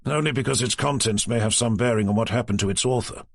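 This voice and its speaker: A man voicing a calm, dry-witted male chief inspector who asks measured, probing questions. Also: medium pitch, gravelly, no room sound.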